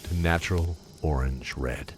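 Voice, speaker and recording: A man reading scripted fantasy narration in a measured, solemn storyteller's manner. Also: extremely low pitch, over loud music.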